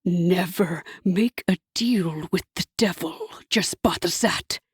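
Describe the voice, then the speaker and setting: Devil father, cooing and cruel, low slow whisper, breathy and dry, audiobook villain read by a woman. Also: dry recording, full character voice. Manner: soft sinister whisper, slow and drawn out.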